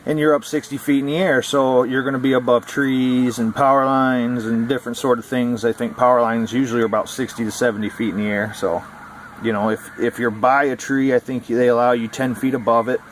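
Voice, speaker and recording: A man's voice: mid-range voice, level tone, brisk, a little hiss or hum. A man talking casually about radio, rambling and repeating himself with many fillers. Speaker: CB radio hobbyist vlogger. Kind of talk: casual explainer talk.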